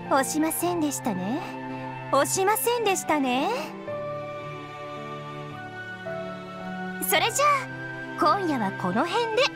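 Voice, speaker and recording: A high cutesy evil ai girl from an anime game, super swoopy delivery, teasing n sweetly creepy, music behind. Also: exaggerated voice. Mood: playfully ominous. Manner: coy, playful, polite, mock-sweet with sinister undertone. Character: teasing, devilish young female moon super AI, sweetly menacing. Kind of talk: Japanese anime game voice acting.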